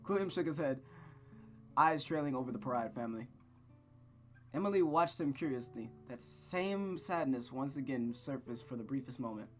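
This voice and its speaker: Excitable, informal storytime host over music. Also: mid-range voice, moderate pace. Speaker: video host reading a visual novel aloud. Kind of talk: casual let's-play narration and chat. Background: music with plucked guitar.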